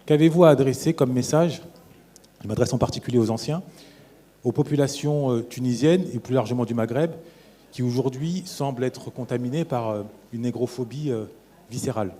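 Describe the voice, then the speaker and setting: Mellow-voiced male panel moderator. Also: mid-range voice, moderate pace. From France. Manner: long, searching questions with hesitations.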